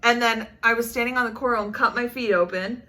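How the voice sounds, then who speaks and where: A woman's voice: on the high side, fairly even intonation, fast, pushed and bright. A woman chatting casually and telling stories with her partner for a vlog, in a playful, teasing way. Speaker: vlog co-host telling stories over photos. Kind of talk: casual storytelling chat with partner.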